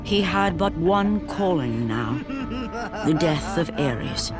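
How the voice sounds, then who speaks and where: Slow, solemn mythic storyteller. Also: female voice, low voice, expressive, over loud music. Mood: grave, foreboding. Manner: grave, portentous, deliberate. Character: solemn, all-knowing female storyteller of Greek myth. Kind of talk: scripted dramatic narration for a video game.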